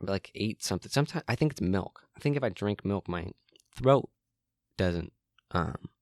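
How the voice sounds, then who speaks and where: A young man talks through his solo podcast from his room in a very low, slightly hoarse voice that cracks now and then. He rambles casually, stopping for ums and restarting sentences. The sound is dry and close, with no room echo. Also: animated, normal speed. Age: young adult. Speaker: podcast host.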